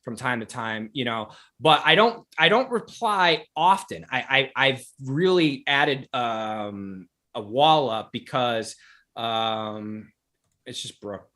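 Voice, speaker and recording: A man with a New England accent co-hosting a casual podcast. He talks in a laid-back, halting way, stopping to repeat himself before he finishes a thought. His voice has a bright, sharp edge. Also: medium pitch, animated. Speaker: podcast co-host. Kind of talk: casual chat.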